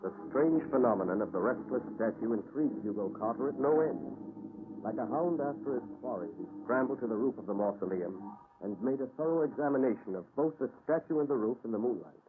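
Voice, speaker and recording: An actor narrating an old-time radio mystery in a measured, dramatic scripted style. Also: male voice, mid-range voice, expressive, lightly acted. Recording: muffled vintage radio broadcast.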